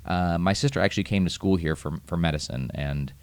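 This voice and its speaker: A man, a guest chatting casually, relaxed and rambling, laughing often. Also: low-pitched, a little fast, clean tone, no room sound. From United States. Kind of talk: casual chat.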